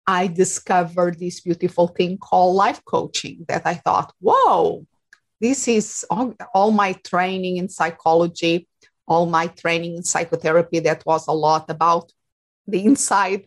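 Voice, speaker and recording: A woman's voice: lowish voice, normal speed. Woman with a Portuguese accent. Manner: reflective, with frequent fillers and restarts. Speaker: guest, life coach. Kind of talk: casual interview talk.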